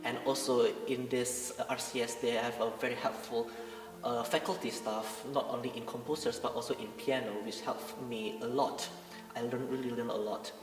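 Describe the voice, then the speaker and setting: A man's voice: medium pitch, level tone, normal speed, hardly any pauses. A young Indonesian man, a pianist and composer, speaking earnestly and hesitantly in a pre-concert interview, often searching for words. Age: young adult. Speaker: pianist and composer, masters student. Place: large recital room. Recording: echoey room, distant mic, music behind.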